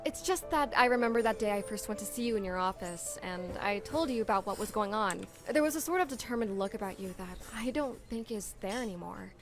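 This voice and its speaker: A woman's voice: medium pitch, brisk, few pauses, over music, natural voice. An actress playing an earnest, worried young woman in a visual novel game. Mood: disappointed, questioning.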